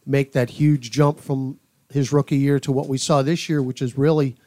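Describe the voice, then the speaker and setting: A man who works as a play-by-play announcer, giving conversational sports analysis in a studio with measured, paused phrasing. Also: medium pitch, flat delivery, mellow, no room sound. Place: podcast studio.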